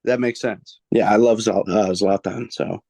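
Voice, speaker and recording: A man's voice: on the low side, steady tone, unhurried, creaky, warm tone, breathy edge, no room sound. A young man co-hosting a casual sports podcast, laid-back, with dry quips. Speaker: podcast co-host.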